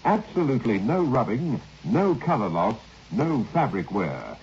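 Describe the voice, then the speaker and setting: A muffled old radio recording with some noise frames a male commercial announcer. He pitches in emphatic, clipped phrases, his intonation rising and falling in a lively way. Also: measured pace. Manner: emphatic, clipped list-like selling. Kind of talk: vintage radio advertising read.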